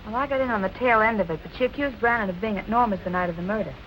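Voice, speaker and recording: An actress playing a stubborn, determined young amateur sleuth, brisk and self-possessed, in 1940s film noir style. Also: female voice, mid-range voice, fairly bright tone, lightly acted. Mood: intent, probing. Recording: noisy old film soundtrack.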